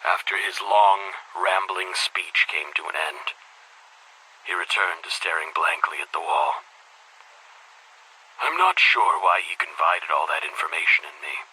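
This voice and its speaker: A brooding older headmaster recording a game audio log. His voice is low, with a heavy breathy rasp and a very sharp, tense edge. He tells things deliberately, weary and cynical, like someone confiding in a tape recorder. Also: exaggerated voice, rough recording. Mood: grave, reflective. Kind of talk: dramatic monologue, video game audio log.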